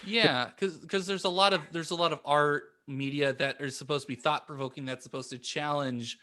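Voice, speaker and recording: A man on a podcast panel in casual discussion, halting and informal as he searches for words. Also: a little high, fairly even intonation, sharp tone.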